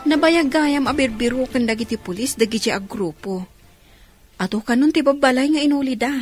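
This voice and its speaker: An actress playing a helpful, reassuring younger niece in an Ilocano radio drama. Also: female voice, high voice, brisk, music in the background, natural voice. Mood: informative.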